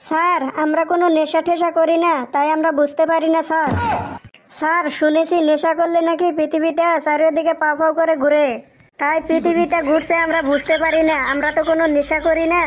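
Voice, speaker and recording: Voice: extremely high pitch, level tone, brisk. A performer voicing a cheeky, naive schoolchild in a comic cartoon, pleading and earnest in manner.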